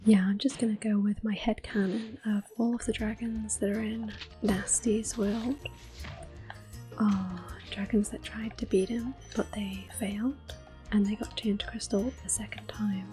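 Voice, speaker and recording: A woman whispers softly and breathily through a video game as an ASMR host. Her voice is dark and mellow, gentle and unhurried, with faint music behind it. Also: mid-range voice, little pitch movement.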